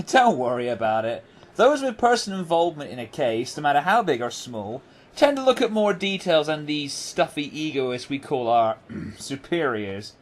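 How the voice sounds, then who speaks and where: A man's voice: a little high, very animated, normal speed. A man playing a wry, self-assured, wordy agent in an audio drama. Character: wry, self-assured male agent, loyal, dryly teasing. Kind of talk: fan audio drama acting. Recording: faint background noise.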